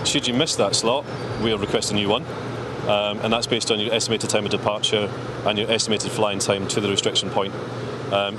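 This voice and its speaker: A man giving plain, matter-of-fact explanations in interview answers as an air traffic control representative. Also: lowish voice. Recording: prominent music under the voice.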